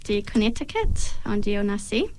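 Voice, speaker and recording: A young woman from New Zealand, a native English speaker, answering interview questions hesitantly, often pausing to search for words and laughing lightly. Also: medium pitch, very animated, darkish tone, low-quality recording. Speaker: guest.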